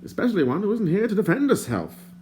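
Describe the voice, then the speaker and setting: A man's voice: high-pitched, sing-song, fast, dark tone, slight background noise, voice pushed up. A man voicing a wordy, theatrical male bard in an audiobook, courtly and self-mocking. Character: chatty, flowery wandering male bard.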